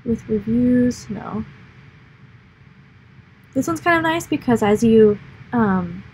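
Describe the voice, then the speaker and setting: A woman's voice: on the high side, lively intonation, slow pace, a little mellow, slight background noise, rough recording. A young American woman hosting a livestream, explaining things in a rambling, upbeat chat full of fillers. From United States. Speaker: livestream host. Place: indoor office or lab room.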